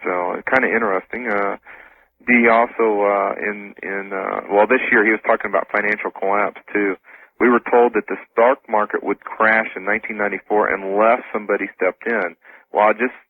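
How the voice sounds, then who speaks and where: A man with a low voice talks as a guest on a radio show. He explains things in a casual, halting, informal way over a tinny, phone-like connection. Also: fairly even intonation, no echo. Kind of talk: casual interview talk.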